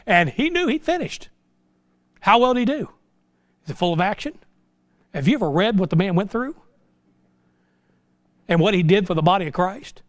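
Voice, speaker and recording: High-pitched American preacher man. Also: expressive, moderate pace, long pauses, no room sound. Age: middle-aged. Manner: folksy, exhortative, with rhetorical questions. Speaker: pastor preaching. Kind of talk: informal sermon. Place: small church sanctuary, indoors.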